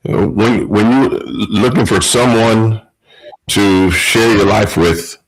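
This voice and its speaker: A man's voice: lowish voice, takes it slowly, breathy edge, low-quality recording. A man hosting a podcast, asking direct questions in a casual interview. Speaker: podcast host.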